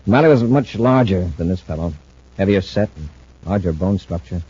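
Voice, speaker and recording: An actor playing an authoritative space patrol commander in an old-fashioned radio serial, with clipped, decisive delivery. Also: male voice, sits low, animated, brisk, mellow, slightly rough. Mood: firm, serious. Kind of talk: 1950s radio drama acting. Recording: noisy, muffled old radio recording.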